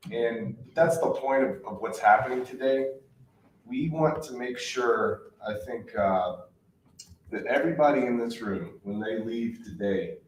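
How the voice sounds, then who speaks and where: Frank, energetic man teaching a room. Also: mid-range voice. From Virginia, US. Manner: frank, energetic, rhetorical questions. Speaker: crypto workshop presenter. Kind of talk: live workshop talk to a room. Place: meeting room at a live event. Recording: echoey room from a distance, faint hiss.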